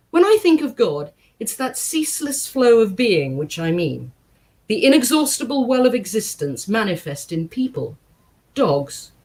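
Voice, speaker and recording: A woman reading aloud to a congregation in an expressive style, with measured, deliberate phrasing and emphatic pauses. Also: a little high, poor audio. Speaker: guest reader at a synagogue service. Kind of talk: expressive book reading with short spoken introduction.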